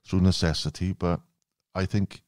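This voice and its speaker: A man whose first language is Welsh hosts a podcast, his voice very low-pitched. He chats in a relaxed, wry way, with a close, dry sound and no room around it. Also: normal speed, dry recording. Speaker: podcast host. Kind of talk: casual interview chat.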